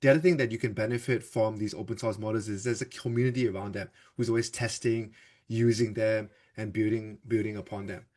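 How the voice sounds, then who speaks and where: A man's voice: on the low side, fairly even intonation, a little fast, darkish tone. A man from Singapore, a developer advocate, giving a loose, conversational tech presentation in a webinar, full of fillers and restarts.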